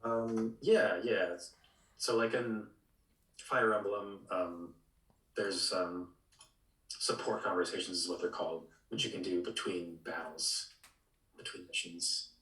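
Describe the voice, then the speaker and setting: A man chatting casually about video games as a podcast co-host, halting and tentative, often repeating his words. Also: sits low, steady tone, fairly bright tone. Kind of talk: casual video game discussion. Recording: roomy echo, distant from mic.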